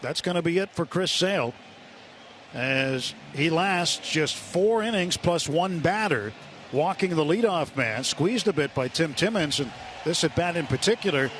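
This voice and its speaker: An American man doing live radio play-by-play of a baseball game, with unhurried pitch calls and pauses between phrases. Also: lively intonation, a bit bright. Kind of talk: live sports commentary. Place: ballpark broadcast booth. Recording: live stadium broadcast, ambient noise.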